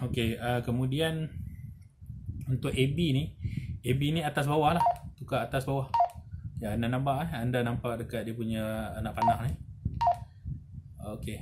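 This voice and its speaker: A Malaysian man talks through a product in a casual tutorial style, at an average male pitch. He explains haltingly, repeating himself and adding small tags like a seller showing a customer. The recording is clearly noisy, with some clicking. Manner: halting, repetitive explaining with frequent "lah" and "eh" tags. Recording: noisy, with audible background noise and clicks.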